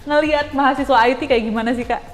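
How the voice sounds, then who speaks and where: A woman's voice: sits high, steady tone, fast, long reverb tail. A woman with a Jakarta Indonesian accent interviewing casually and chattily, often chiming in with short agreements. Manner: informal, chatty, frequent backchannels. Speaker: interviewer, talk show host.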